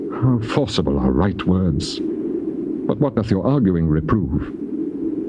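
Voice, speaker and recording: An actor playing an afflicted, despairing man who pleads bitterly in declamatory, archaic scripture drama. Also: on the low side. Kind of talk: dramatized scripture reading, stage-trained acting. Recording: noisy, muffled old cassette tape.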